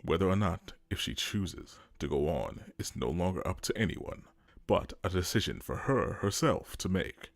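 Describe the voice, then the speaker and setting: A man's voice: deep voice, animated, moderate pace, gravelly, no room sound, studio quality. A man narrating a dramatic scripted story.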